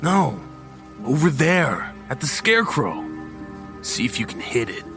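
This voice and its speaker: A man's voice: medium pitch, sing-song, unhurried, music in the background, voice pushed up. A man voicing a blocky, restless boy who teases and bosses his scared friend. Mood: teasing. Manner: pushy, bossy. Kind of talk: horror audio drama narration.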